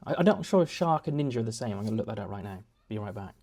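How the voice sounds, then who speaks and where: A man's voice: on the low side, expressive, rapid-fire, creaky, darkish tone. A young man chatting at home in a casual vlog, rambling and self-deprecating with many restarts. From United Kingdom. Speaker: vlogger. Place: home, indoors.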